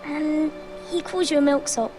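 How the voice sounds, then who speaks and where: A woman's voice: high-pitched, fairly even intonation, moderate pace, mellow, soft background music, lightly acted. A woman voicing an anxious, plainspoken little noble boy in a fantasy game, childlike and plaintive. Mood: frank, innocent. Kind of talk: dramatic fantasy game acting.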